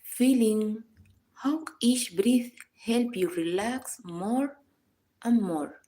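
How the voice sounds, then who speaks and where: A woman reading a scripted guided meditation in a calm, measured, instructional manner. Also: on the high side, a little hiss or hum. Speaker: psychologist guiding a meditation.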